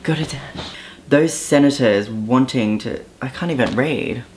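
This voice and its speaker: A young man from Southeast Queensland with an Australian accent, talking casually to camera with an offhand, relaxed manner and frequent ums. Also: mid-range voice, measured pace, faint music behind. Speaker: vlogger. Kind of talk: casual vlog monologue.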